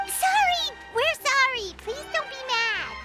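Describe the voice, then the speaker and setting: A woman voicing a tiny childlike floating companion in a video game, blunt and excitable, talking in exclamations. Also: very high-pitched, takes it slowly, exaggerated voice. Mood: apologetic. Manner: refers to self in third person, exclamatory. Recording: background music under the voice.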